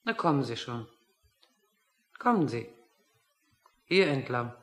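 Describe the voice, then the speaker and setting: An actress playing a brisk, inquisitive, commanding woman official in a satirical radio play. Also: rumbling low, very animated, heavily acted. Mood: insistent. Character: brisk, commanding waitress-turned-interrogator in a satire.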